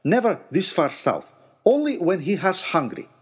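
A man's voice: high voice, sing-song, moderate pace, slightly rough, voice pushed up, heavily acted. A man voicing a matter-of-fact guide on an expedition, speaking terse broken English, in an audio story.

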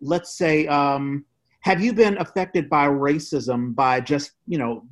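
A man's voice: on the high side, slow. A man co-hosting a casual interview, asking questions in a polite, tentative, roundabout way. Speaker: podcast co-host asking questions.

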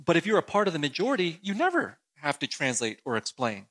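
A man, an author from the United States, explaining his views in an animated, emphatic way during an interview. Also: a little high, fast. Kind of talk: interview conversation.

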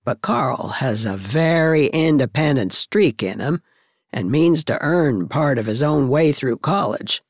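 A woman's voice: extremely low pitch, animated, a little slow, no room sound, studio quality, pitched below the performer's own voice, full character voice. A woman voicing a blunt, opinionated older matchmaking woman in a storybook, with an emphatic, forthright way of talking.

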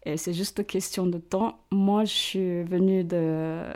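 Slow, soft-spoken woman. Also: on the low side, steady tone. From Hong Kong, China. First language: Chinese. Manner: hesitant, searching for words with many fillers. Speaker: parish council president. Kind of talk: radio interview, personal testimony.

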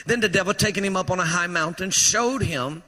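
A man preaching a fervent sermon in a church, his voice strained from shouting and rousing the congregation to respond. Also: high voice, fairly quick. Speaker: pastor. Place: church sanctuary. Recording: echoey church hall reverb.

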